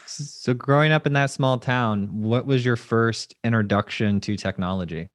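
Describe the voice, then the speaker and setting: A man's voice: mid-range voice, normal speed, no room sound. A man hosting a podcast, opening the show and chatting casually with an upbeat manner. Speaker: podcast host. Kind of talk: podcast interview.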